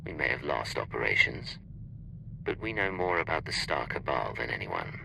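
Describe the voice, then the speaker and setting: Video game spy: commanding, measured, gravelly bass with swooping intonation. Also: male voice, animated, background noise, exaggerated voice. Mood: resolute, cool. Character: calm, commanding male imperial secret agent, flirtatious off duty. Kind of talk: video game cutscene acting.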